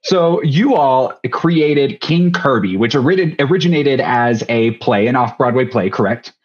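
A man's voice: mid-range voice, expressive, a little slow. American man hosting, slightly dull sound. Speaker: podcast host, interviewer. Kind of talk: casual interview chat. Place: small home room on video call. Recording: slightly dull video-call audio.

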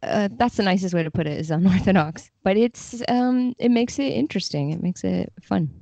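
A woman's voice: medium pitch, lively intonation, normal speed, gravelly, mellow, dry recording. A woman chatting informally and enthusiastically about fiction as a podcast guest. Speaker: podcast guest, literary translator. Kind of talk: casual chat about fiction.

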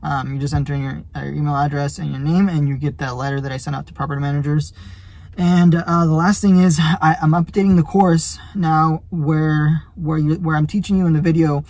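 Male vlogger, thirties, conversational small-business advice, gravelly edge, slightly raspy. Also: on the high side, fairly even intonation, fairly quick, a little mellow. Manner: conversational, explanatory. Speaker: junk removal business owner giving tips. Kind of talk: casual advice vlog. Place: inside a parked truck cab.

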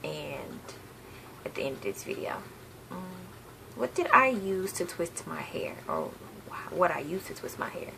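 A woman's voice: fairly low pitch, very animated, measured pace, sharp tone, poor audio. A young woman from the South, chatting casually on a home vlog, rambling with lots of ums and self-corrections. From Southern United States. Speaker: hair vlogger. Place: bedroom, seated on a patterned couch, indoors. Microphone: webcam at desk distance. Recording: gravelly voice with clear background hiss.

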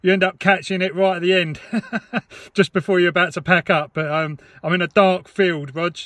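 A man's voice: sits high, steady tone, normal speed, a bit bright, no echo. A man, talking casually as he demonstrates and chats on CB radio. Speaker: vlogger and CB radio hobbyist. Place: inside a parked car. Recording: clear background noise and radio hiss. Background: CB radio static and chatter.

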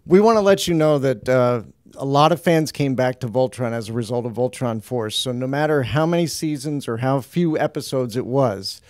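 Smooth-voiced male fan interviewer. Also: mid-range voice, normal speed, few pauses, darkish tone, dry recording. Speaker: interviewer, show host. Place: small room at a fan convention. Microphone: handheld mic.

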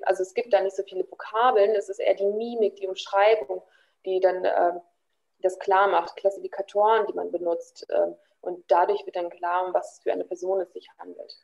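A woman giving thoughtful interview answers over a video call, pausing often with fillers. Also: fairly low pitch, vocal fry, a little mellow, lo-fi sound. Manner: thoughtful, many pauses and fillers.

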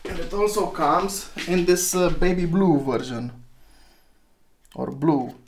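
A chatty man talks through a hands-on gadget review, thinking aloud and chuckling at his own slip-ups. His voice sits a little higher than most men's. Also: slow. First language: Romanian. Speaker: retro phone reviewer.

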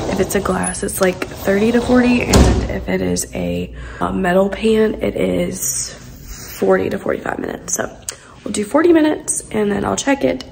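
A young woman with a raspy voice, casually talking through a bread recipe in her home kitchen, chatty and loose with fillers. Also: medium pitch, noisy background. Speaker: lifestyle vlogger. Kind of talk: casual baking walkthrough.

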